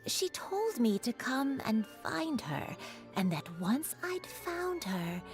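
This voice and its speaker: A woman playing a gentle, forgetful old grandmother in a video game, speaking in a wandering, absent-minded way. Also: high-pitched, sing-song, slow, music in the background, heavily acted. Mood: wistful, musing.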